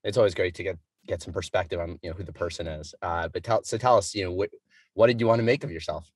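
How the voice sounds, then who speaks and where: Male host, low voice, dry close sound, rambling casual questions. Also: fast, breathy edge, no room sound. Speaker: podcast host. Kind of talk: casual interview.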